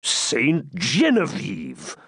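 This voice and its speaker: A man's voice: medium pitch, wildly swooping pitch, a bit bright, slightly rough, no echo, clean studio sound, pitched above the performer's own voice, full character voice. A man voicing a furious Norman baron. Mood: menacing. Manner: bellowing, threatening, slow and heavy. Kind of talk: audiobook character reading.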